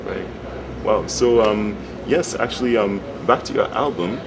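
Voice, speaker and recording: A man interviewing a singer, asking his questions with many ums and pauses and affirming her answers. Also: lowish voice, creaky. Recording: noisy background hum.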